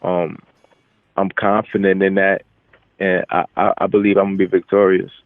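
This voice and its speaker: A young man, a boxer, giving casual, halting interview answers with repeated phrase starts over a telephone. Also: sits low, flat delivery, no room sound. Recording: narrow, tinny phone line.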